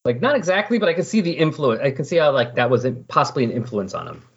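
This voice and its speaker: Male podcast host, husky voice, casual explanatory recapping, dull lo-fi sound. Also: medium pitch, big pitch swings, fast. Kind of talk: casual comic book discussion. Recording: dull lo-fi voice recording.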